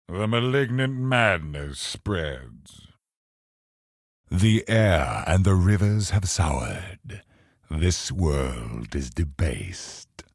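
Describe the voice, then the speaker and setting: An actor playing a grandiose, brooding godlike cosmic villain in a video game, speaking in solemn, pompous, poetic monologue with a gravelly, husky voice. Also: male voice, deep voice, dry recording, studio quality, exaggerated voice.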